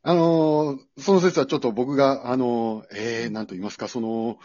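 A man chatting in mock-formal polite speech, halting and pleading as he goes. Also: mid-range voice, fairly even intonation, a little mellow, no echo. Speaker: amateur drama group member on a talk show. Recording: dry, muffled lo-fi remote recording.

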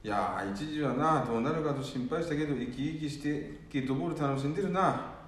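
A man's voice: a little high, fairly quick, close to an everyday voice. Echoey, earnest grown son played by a man. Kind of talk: amateur radio drama acting. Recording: very echoey room, faint noise.

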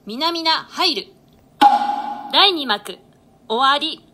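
A woman's voice: high voice, expressive, drawn-out words, pushed and bright. A woman reading out brief stage directions in a scripted play reading, as its announcer.

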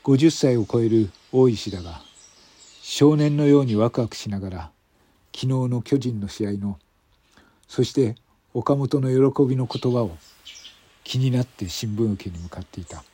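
男性がラジオドラマの台本を、間を取りながら語るナレーション。. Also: male voice, mid-range voice, normal speed, mellow, breathy edge, dry recording. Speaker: narrator. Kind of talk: scripted radio drama narration.

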